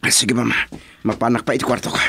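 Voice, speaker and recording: A man's voice: mid-range voice, flat delivery, fast talker, creaky, a bit bright, a little hiss or hum. A man playing a jealous, enraged son and betrayed husband in a radio drama, intense and agitated. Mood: tense. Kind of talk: Ilocano radio drama acting.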